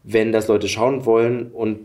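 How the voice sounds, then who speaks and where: Mellow-voiced man, rambling and hesitant. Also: fairly low pitch, little pitch movement, a little slow. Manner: rambling, hesitant, thinking aloud. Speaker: podcast host and interviewer. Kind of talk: casual chat.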